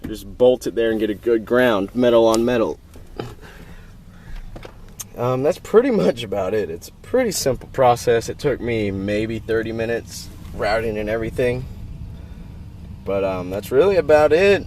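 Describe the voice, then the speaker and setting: A man talks through a hands-on how-to in an informal, plainspoken way, his voice at an ordinary middle pitch. It sounds like a handheld camera recording at a truck, with some noise behind him. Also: lively intonation, normal speed, darkish tone. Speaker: DIY video host. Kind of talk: casual how-to walkthrough. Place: in and around a pickup truck. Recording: clear background noise, vehicle ambience.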